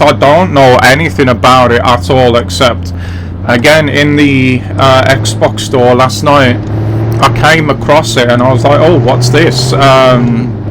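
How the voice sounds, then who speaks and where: Slow, even-toned English man commentating gameplay. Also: mid-range voice, noisy background. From England. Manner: chatty, rambling, addressing viewers directly. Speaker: gaming video host. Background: driving game vehicle sounds.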